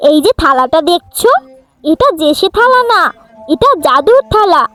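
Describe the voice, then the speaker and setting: An excitable little girl from a village fairy-tale cartoon , voiced by a woman. Her voice is extremely high and swings up and down, over faint music. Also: normal speed, heavily acted. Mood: proud, excited.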